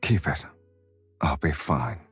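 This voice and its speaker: Smug flirty game love interest, super low slow voice, breathy, music behind. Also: male voice, expressive, full character voice. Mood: low, intimate. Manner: unhurried, playful teasing. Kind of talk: romance game voice acting.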